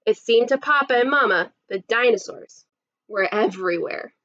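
A woman's voice: on the high side, normal speed, a bit bright, muffled recording. A woman in her thirties, a kindergarten teacher, reading a storybook expressively to young children. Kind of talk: read-aloud storybook narration for young children. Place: small indoor room set up as a classroom.

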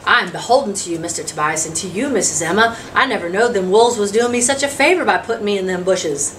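A woman's voice: mid-range voice, animated, fast talker, a bit bright, lightly acted. Woman voicing a folksy drifter, distant and noisy. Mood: weary, grateful. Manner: folksy rural dialect, plainspoken storytelling. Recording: distant mic, noisy room sound.

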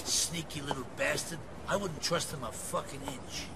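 Man acting a high-pitched, volatile old mafia don. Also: moderate pace, very noisy, natural voice. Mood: bitter, distrustful. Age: older. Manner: effusive, streetwise, swinging from gushing to menace. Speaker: mafia boss. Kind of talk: video game cutscene acting.

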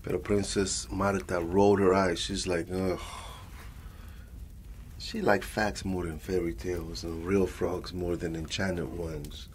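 A man playing an affectionate old grandfather with a Latin American Spanish accent, softly telling a bedtime story in an ASMR roleplay, rambling and repeating endearments as he switches between Spanish and English. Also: deep voice, little pitch movement, measured pace, a little hiss or hum. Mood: patient, storytelling.

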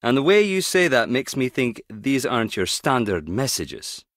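An actor playing a wry, guarded skip tracer in a video game, teasing yet protective, speaking in a clipped, conversational way. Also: male voice, medium pitch, big pitch swings, moderate pace, a bit bright, dry recording, clean studio sound. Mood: guarded, serious. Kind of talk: video game character dialogue.

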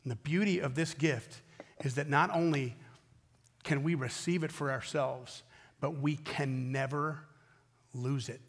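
An American man preaches from a church stage in a somewhat high-pitched voice. He is a pastor who speaks earnestly and with emphasis, repeating phrases and pausing deliberately between them. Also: fairly even intonation, in a large hall. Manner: earnest, emphatic delivery with deliberate pauses and repetition. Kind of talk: sermon. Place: large indoor church auditorium stage at a wooden pulpit.

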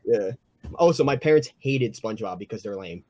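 A man's voice: fairly low pitch, animated, a little fast, mellow. A man chatting and joking casually with friends on an online group call. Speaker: member of a comic dub cast.

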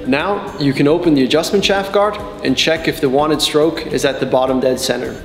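A man in his twenties giving scripted instructional narration as a technical trainer, explaining each step in a measured way. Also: a little high, creaky. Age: 20s. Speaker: technical support and customer trainer. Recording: echoey, reverberant sound with background music. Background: background music.

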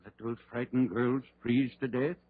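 An actor playing a stern, commanding doctor in an old radio horror play. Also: male voice, mid-range voice, steady tone, moderate pace, dry recording, lightly acted. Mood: stern, urgent. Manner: commanding, urgent. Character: stern, determined male doctor leading a night search. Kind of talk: 1930s radio horror acting. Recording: muffled, narrow-band 1930s radio recording.

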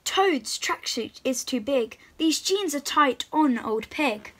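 A young girl, presenting to little children in an excited, encouraging way and reading picture books aloud. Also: high voice, slow pace. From England. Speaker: host of a children's read-aloud channel. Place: small room at home, indoors.

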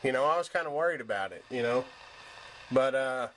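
A man's voice: mid-range voice, animated, fairly quick, fairly bright tone, low-quality recording. A man giving a casual, off-the-cuff demonstration in a folksy, laid-back way with hesitant phrasing. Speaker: hobbyist demonstrating a radio set-up. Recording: home camera with background noise.

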